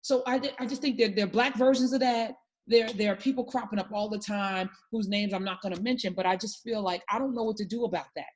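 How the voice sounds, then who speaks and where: Passionate woman host, husky voice. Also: medium pitch, fairly even intonation, quick pace. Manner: passionate, emphatic, preachy. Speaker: talk show host. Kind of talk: casual political discussion.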